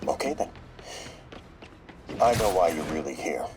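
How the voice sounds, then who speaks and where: An actor playing a shrewd therapist, measured and probing in a video game audio log. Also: male voice, deep voice, lively intonation, noisy background. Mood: cold, assertive. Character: shrewd male therapist, measured, clinical, quietly calculating.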